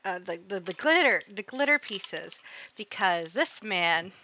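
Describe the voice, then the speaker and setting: A woman's voice: medium pitch, animated, a little slow, bright, forceful tone, lo-fi sound, a little hiss or hum. A woman hosting a livestream, chatting casually about the game she plays in a gushing, playfully dramatic way. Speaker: livestream host.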